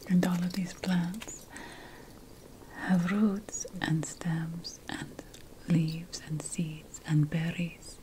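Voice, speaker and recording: Woman playing ancient witch priestess whispering real slow, asmr roleplay, lofty potion scholar, noisy recording. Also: lowish voice, flat delivery. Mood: hushed, instructive. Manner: hushed, deliberate, lingering over lists.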